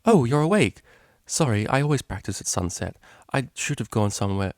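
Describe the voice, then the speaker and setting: A man's voice: mid-range voice, sing-song, fairly quick, dry recording, studio quality. A man voicing a prim, easily exasperated young female pony cellist in a storybook reading, with fussy, formal phrasing. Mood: sheepish.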